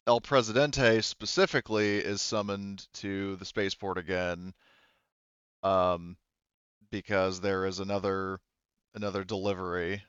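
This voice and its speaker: Clear low-voiced man running a tabletop game. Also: a bit bright, dry recording, dull audio. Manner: halting, thinking aloud. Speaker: game master. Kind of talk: narrating a tabletop roleplaying game.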